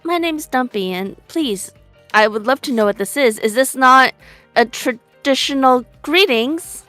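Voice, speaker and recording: A woman's voice: high voice, expressive, a little slow, pushed and bright, no room sound, faint music behind. A woman playing in a tabletop game, cheerful and rambling. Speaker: player.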